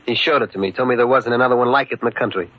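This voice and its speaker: A man plays a tough American henchman in a radio crime drama. He talks in blunt street slang at a middle pitch, calmly threatening and matter-of-fact. The sound is a dull, muffled old broadcast recording. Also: rapid-fire, lightly acted.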